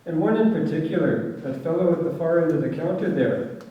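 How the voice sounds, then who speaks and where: An actor playing a bossy pub boss in a radio play. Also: male voice, fairly high pitch, animated, fairly quick, natural voice. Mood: impatient. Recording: dull, very echoey, distant from mic.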